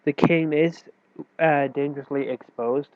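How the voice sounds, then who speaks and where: Male chess tutor with a head cold, nasal and a bit high, relaxed explaining manner, dry phone-like sound. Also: unhurried, no echo. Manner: loose, self-correcting explanation with fillers. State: stuffy with a cold. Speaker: chess teacher. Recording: tinny, dry phone-like recording.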